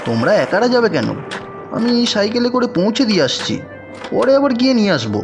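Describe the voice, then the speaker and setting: Animated, sheepish village husband. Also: female voice, mid-range voice, quick pace. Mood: appeasing. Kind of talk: Bengali cartoon voice acting. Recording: loud music bed over dialogue. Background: music.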